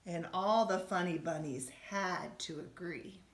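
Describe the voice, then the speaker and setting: A woman reading a children's storybook aloud like a teacher, expressively, with gentle questions to her young listeners. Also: on the low side, a little slow. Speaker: schoolteacher reading a bedtime story. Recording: roomy, echoey room sound.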